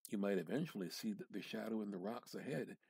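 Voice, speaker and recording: A man giving a measured, theatrical reading of a literary short story, drawing out words and pausing for effect. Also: lowish voice, vocal fry, darkish tone, breathy edge, no room sound. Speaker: amateur reader practicing dramatic reading.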